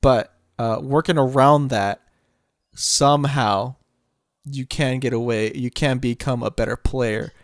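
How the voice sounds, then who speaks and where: A man co-hosting a podcast, chatting casually about games, agreeable and quick to say yeah, now and then swearing offhand. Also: medium pitch, steady tone, no echo, clean studio sound. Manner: agreeable, frequent yeahs, loose swearing. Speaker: podcast co-host. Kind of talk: casual gaming chat.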